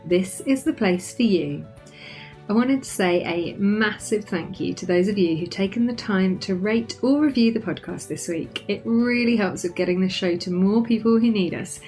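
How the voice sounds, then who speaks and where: A woman's voice: medium pitch, a little mellow. A woman hosting a podcast interview, chatty and upbeat. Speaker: podcast host.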